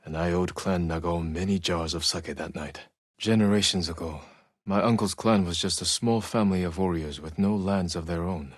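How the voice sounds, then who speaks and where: An actor playing a stoic, honorable samurai warrior in a video game, with restrained, solemn, reflective delivery and a soft-edged husky voice. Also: male voice, low-pitched, fairly even intonation, moderate pace, dry recording, clean studio sound. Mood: solemn, earnest. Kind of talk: dramatic video game acting, reflective monologue.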